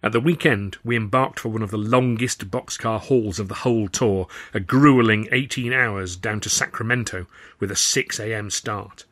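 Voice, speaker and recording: Low-voiced man narrating a novel. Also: normal speed, fairly bright tone. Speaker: author reading his novel aloud. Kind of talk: first-person audiobook narration.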